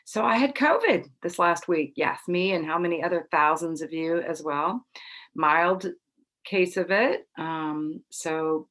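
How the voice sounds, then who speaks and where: A middle-aged woman hosting a casual astrology talk to camera, a little congested after being ill, chatty and relaxed with soft laughs. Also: fairly low pitch, measured pace. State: slightly congested after illness. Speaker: psychic astrology host.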